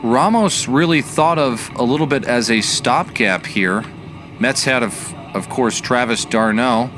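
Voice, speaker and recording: A man giving baseball play-by-play commentary as the announcer, measured and analytical in his delivery. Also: mid-range voice, music in the background.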